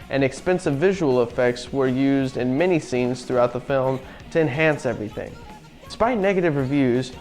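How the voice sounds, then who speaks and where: A young man hosting a casual video review show, reading out facts and riffing on them offhandedly. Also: mid-range voice. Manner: chatty, offhand, reads facts then riffs. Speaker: podcast host.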